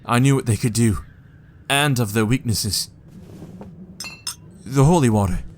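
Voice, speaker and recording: A man's voice: mid-range voice, unhurried, lightly acted. A man playing a defiant, haunted young Victorian vampire hunter in a horror audio drama, earnest and intense. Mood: grave.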